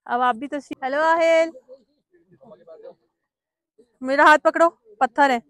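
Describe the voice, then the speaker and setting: A woman's voice: high-pitched, normal speed, a bit bright, no echo. Chatty woman vlogging outdoors by a lake. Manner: chatty, mixes English phrases into her talk. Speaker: travel vlogger. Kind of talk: casual travel vlog narration.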